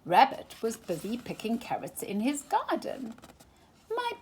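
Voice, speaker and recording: A woman reading a children's picture book aloud for storytime, with an expressive, animated delivery. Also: on the high side, moderate pace, husky. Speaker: storytime reader.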